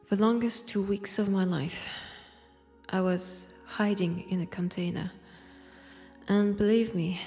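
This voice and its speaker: A woman's voice: mid-range voice, darkish tone. A woman with a French accent playing a wistful, wry centuries-old vampire in a convent, in an intimate audio roleplay, hesitant and sighing. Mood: wry, exasperated. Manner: hesitant, sighing, drifting into near-whispers. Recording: long church-like reverb.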